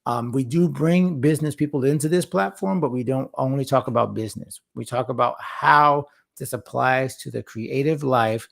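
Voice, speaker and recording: A man with a gravelly, fry-laden voice that is a little hoarse from talking a long while. He talks earnestly to livestream viewers, circling back and repeating words to drive a point home. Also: mid-range voice, moderate pace, hardly any pauses, a bit bright. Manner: earnest, repeats words for emphasis, rambling asides. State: slightly hoarse after a long session. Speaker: host and founder of a faith-focused creative platform. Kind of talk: casual livestream talk to viewers.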